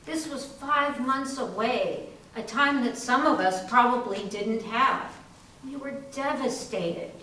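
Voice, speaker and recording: A woman portrays a sick young working woman who is resigned yet determined, telling her story on stage. The voice sits somewhat high and comes from far off, washed in strong room echo. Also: slow pace, sharp tone. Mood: devastated. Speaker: community theatre performer. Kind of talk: readers theater dramatic narration. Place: library readers theater performance, indoors. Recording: very echoey hall, distant, faint hiss.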